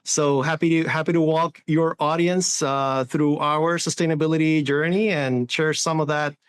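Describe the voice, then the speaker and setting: Earnest man, 40s, a bit high-pitched, clear and smooth delivery, podcast guest. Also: fairly even intonation, moderate pace. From Honduras. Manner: earnest, many restarts and tag "right?". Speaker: sustainability executive guest. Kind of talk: interview answers.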